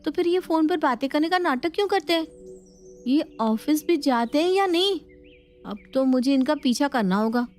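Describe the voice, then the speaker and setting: A woman's voice: high-pitched, expressive, normal speed, a little mellow, soft background music. A woman playing a feisty, suspicious young Indian bride in a family story, dramatic and plaintive.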